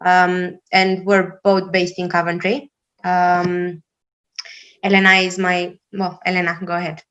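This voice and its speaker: A young woman from Romania leading an online discussion, speaking hesitantly with many pauses and fillers. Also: lowish voice, monotone, fairly bright tone, highs cut off. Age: young adult. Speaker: discussion leader.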